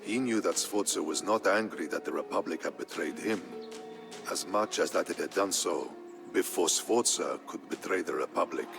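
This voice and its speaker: A man narrating a historical tale in a scripted, dramatic style, solemn and measured. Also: sits low, vocal fry. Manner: solemn, measured storytelling delivery. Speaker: narrator of a strategy game campaign story. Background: background music.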